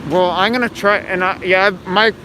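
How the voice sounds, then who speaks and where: Brief: hoarse male street streamer, higher voice, traffic noise behind. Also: moderate pace. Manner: calm, chatty commentary while walking. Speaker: streaming journalist. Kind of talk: live street livestream narration. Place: city street outdoors. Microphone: handheld phone camera. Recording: loud street noise outdoors.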